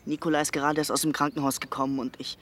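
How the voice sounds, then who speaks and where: A man plays a stubborn young villager in a radio drama. His voice is a little high, his delivery blunt and down-to-earth, with some background noise. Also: little pitch movement, rapid-fire, a bit bright, close to an everyday voice. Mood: matter-of-fact. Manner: blunt, matter-of-fact. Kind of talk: naturalistic German radio drama acting.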